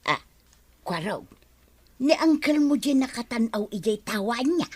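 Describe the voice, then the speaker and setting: A woman playing an excitable, fussy village busybody in a comic radio drama. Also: on the high side, a little slow, husky. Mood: fussy, probing.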